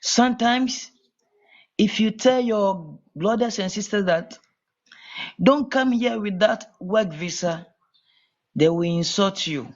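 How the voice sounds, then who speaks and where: A man from Ghana with a Ghanaian accent, talking as a guest in a casual call-in interview, halting and repeating his words. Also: high-pitched. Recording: muffled lo-fi call audio.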